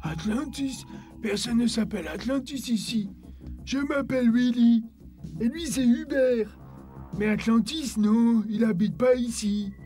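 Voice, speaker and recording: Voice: mid-range voice, a little slow, warm tone, close to an everyday voice. A performer voicing a friendly, naive, anxious talking fish in a cartoon, simple and earnest. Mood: puzzled. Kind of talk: cartoon dub in French. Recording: music behind the voice.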